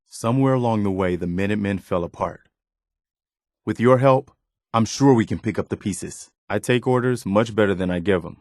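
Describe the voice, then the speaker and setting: Game companion role, male actor: sincere, soldierly militia officer, loyal and dutiful, lowish pitch, close dry recording. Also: normal speed, a little mellow, no room sound, natural voice. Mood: earnest, resolute. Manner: sincere, plain-spoken, soldierly. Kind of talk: scripted game dialogue.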